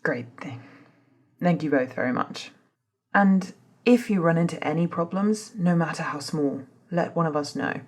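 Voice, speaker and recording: A woman playing a composed, authoritative female doctor in a soft-spoken ASMR drama, speaking in a measured, formal, husky way. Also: low voice. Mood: grave, controlled. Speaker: voice actor in scripted ASMR roleplay.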